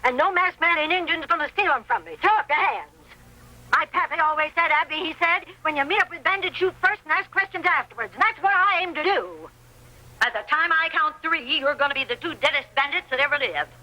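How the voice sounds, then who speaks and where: A woman's voice: high-pitched, lively intonation, brisk, bright, forceful tone. An actress playing a blustery, blunt older backwoods woman with a folksy rustic twang in an old radio western. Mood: belligerent. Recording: vintage lo-fi radio drama recording.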